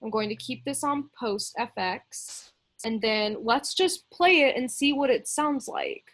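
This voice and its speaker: A woman with a slightly high-pitched voice leads a music production tutorial. She explains each step casually and informally, thinking out loud as she demonstrates on screen. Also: measured pace.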